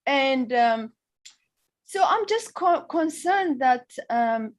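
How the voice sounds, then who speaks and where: Earnest higher-voiced woman from Ethiopia. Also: lively intonation. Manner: halting, many fillers, earnest. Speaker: audience member asking a question. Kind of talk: panel discussion question.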